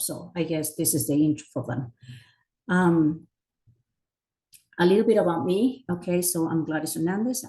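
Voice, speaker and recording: Presenter: middle-aged woman, low voice, full of hesitations and restarts. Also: dark tone, rough recording. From Chile. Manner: many fillers and self-corrections. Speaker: educator presenting a teacher training. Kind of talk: conference presentation.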